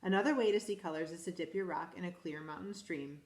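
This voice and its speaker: A school principal, a woman, reading a picture book aloud to children in a lively, expressive way. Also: lowish voice, fast, echoey room. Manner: animated, expressive storytelling to children.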